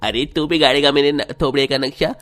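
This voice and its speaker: A man voicing a hot-headed, confrontational schoolboy in an animated horror story. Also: on the high side, brisk, lightly acted. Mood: angry, threatening. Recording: music under the voice.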